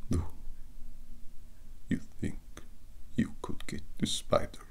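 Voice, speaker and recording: A young man playing a brooding, theatrical vampire landlord in an ASMR roleplay, gravelly and breathy, speaking with dramatic pauses. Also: natural voice. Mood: solemn, uneasy. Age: young adult. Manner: slow, drawn-out, dramatic pauses.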